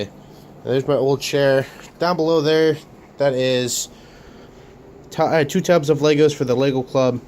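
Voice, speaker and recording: A young man hosting a casual room tour vlog of his bedroom studio, talking haltingly with many fillers and restarts while coming down with a cold. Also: mid-range voice, darkish tone, a little hiss or hum. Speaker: Lego channel host.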